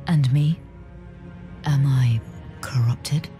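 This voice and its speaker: A man playing a weary, haunted wanderer in a dark fantasy game, speaking in a serious, halting, hushed way. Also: mid-range voice, soft background music. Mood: uneasy, resolved. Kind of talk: dramatic video game cutscene acting.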